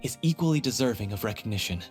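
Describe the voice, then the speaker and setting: A man playing an easygoing, earnest stage troupe leader in an anime-style video game, with a husky voice. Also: lowish voice, expressive, quick pace, soft background music, lightly acted. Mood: placating.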